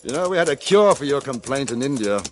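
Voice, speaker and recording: An actor as a dry, understated ex-cavalry captain. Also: male voice, mid-range voice, very animated, quick pace, a little hiss or hum, natural voice. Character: reserved English ex-cavalry captain, adult man. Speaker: narrator and lead. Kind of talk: 1950s radio drama acting. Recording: old radio broadcast with music bed.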